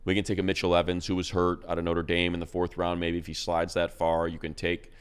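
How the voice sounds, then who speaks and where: A man's voice: sits low, completely flat delivery, quick pace, clear, resonant tone. A man, a radio play-by-play announcer, chatting casually about football picks, rambling with restarts and repeated words. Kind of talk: casual sports chat.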